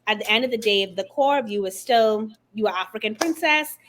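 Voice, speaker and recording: A middle-aged woman from the Bahamas, a guest author and confidence coach, telling her story in an expressive, animated way during an interview. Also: on the high side, brisk, slight background noise. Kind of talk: conversational interview.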